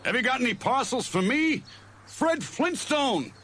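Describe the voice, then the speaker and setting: A man voicing a loud, boastful, pompous grown man in a storybook reading. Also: high voice, sing-song, normal speed, slight background noise, voice pushed up, exaggerated voice. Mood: excited. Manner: blustery and self-important.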